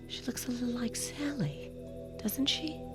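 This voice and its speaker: Woman acting a tender worried wife, rather high soft voice, hushed concern, radio drama, loud music underneath. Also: a little slow, lightly acted. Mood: tender, anxious. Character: tender, anxious motherly wife in a small town.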